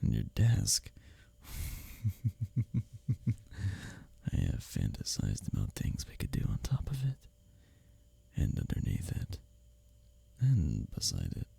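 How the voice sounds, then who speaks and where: A man acting as a smitten, teasing workplace rival in an intimate romantic roleplay, with a breathy, husky, halting delivery that often drops toward a whisper. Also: rumbling low, huge pitch swings, warm tone, exaggerated voice. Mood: seductive, longing.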